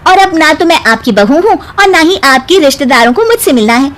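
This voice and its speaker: A woman's voice: sits high, very animated, fairly quick, a bit bright. A woman voicing a short, witty young bride in a cartoon story, answering taunts with cheeky comebacks. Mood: firm. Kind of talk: cartoon voice acting.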